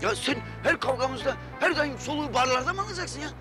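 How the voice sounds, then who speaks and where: Exasperated protective uncle, very high pitch, sarcastic scolding, TV drama, clear music behind. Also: male voice, lively intonation, quick pace. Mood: angry scolding. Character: angry, scolding, protective uncle of young women. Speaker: actor in a TV drama. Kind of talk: Turkish TV drama acting. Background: dramatic background music.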